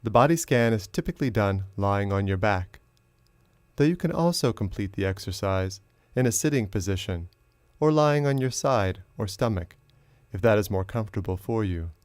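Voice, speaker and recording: Male meditation teacher, fairly low register, measured instructional delivery with generous pauses, very dry recording. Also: expressive, no room sound. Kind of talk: guided meditation narration.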